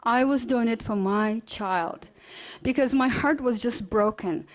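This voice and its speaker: A middle-aged woman from Russia with a Russian accent, giving an earnest personal lecture with quick bursts, fillers and restarts. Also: a little high, poor audio. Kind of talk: storytelling lecture.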